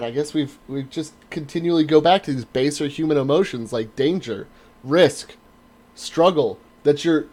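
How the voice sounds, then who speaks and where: A man's voice: fairly high pitch, darkish tone. A middle-aged man chatting casually as a podcast host, opinionated and sarcastic, with plenty of swearing. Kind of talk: casual chat.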